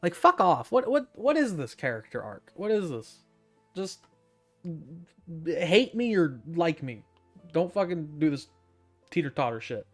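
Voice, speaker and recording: A young woman streams a game in a notably low voice. Her commentary is casual, sarcastic and incredulous, peppered with swearing. Faint game music plays behind her. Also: sing-song, a little slow. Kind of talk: casual let's-play commentary.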